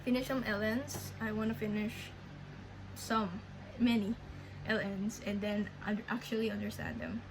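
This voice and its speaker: A young woman with a Filipino accent, Filipino her native tongue, talking slowly and rambling, with many restarts, in a casual vlog update. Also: mid-range voice, expressive. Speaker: language learning vlogger. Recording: clear background noise.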